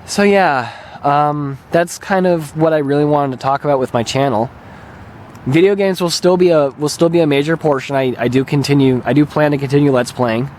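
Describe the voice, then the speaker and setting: A man with a mildly hoarse, slightly raspy voice, talking casually and hesitantly as he rambles through a vlog as its host. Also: on the high side, normal speed, darkish tone, slight background noise. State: mildly hoarse, recovering throat. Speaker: video channel host and gamer. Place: open lakeshore beach outdoors. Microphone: handheld camera held by the speaker.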